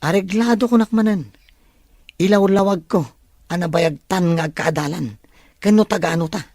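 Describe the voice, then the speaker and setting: An actor as eager young male sidekick, medium pitch, dry recording, helpful, audio drama. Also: moderate pace, darkish tone, no room sound, lightly acted. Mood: eager, loyal. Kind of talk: Filipino audio drama dialogue in Ilocano.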